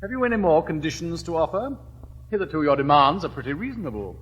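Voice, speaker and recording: An English actor in his fifties playing an elegant, witty young gentleman suitor with measured, polished delivery. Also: a little high, sing-song. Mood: courtly. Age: 50s. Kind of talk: 1960s classic stage recording.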